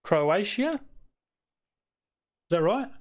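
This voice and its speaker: A man's voice: high voice, big pitch swings, drawn-out words. An Australian man chatting casually to his live-stream viewers while he plays, rambling with lots of fillers and restarts and muttering at the game.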